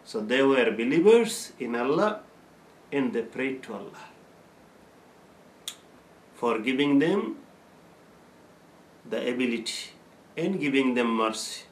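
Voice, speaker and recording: Slow-speaking older man teaching, echoey room. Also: mid-range voice, animated, slight background noise. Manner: explains verses slowly, chanting Arabic recitation between. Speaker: Islamic teacher. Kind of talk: religious lesson with Quran recitation. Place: plain room with a bare wall.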